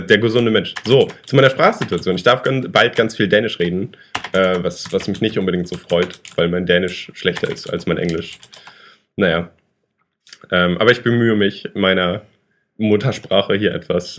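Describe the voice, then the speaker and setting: A young man co-hosting a casual chat, rambling and joking, with frequent fillers and restarts. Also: lowish voice. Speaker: podcast co-host.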